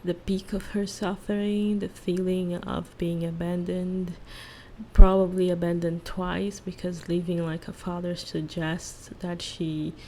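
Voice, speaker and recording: A woman speaking softly in an ASMR monologue, hushed and halting, lingering on phrases and at times slipping into a whisper. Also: lowish voice, level tone, a little hiss or hum, rough recording. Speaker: ASMR creator and host. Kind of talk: soft-spoken ASMR role-play monologue with song analysis.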